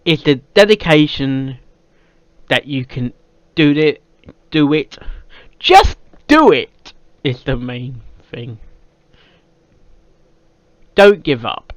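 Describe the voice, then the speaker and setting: A British man giving casual off-the-cuff reaction commentary, often halting and repeating his words and phrases as he goes. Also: fairly high pitch, big pitch swings, no echo. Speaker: reaction video host. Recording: dull lo-fi microphone sound.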